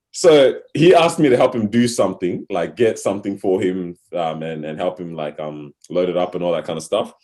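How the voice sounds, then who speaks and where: Low-voiced slangy young Australian man. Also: moderate pace. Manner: slangy, laughs loudly, storytelling. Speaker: podcast co-host. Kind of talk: casual chat.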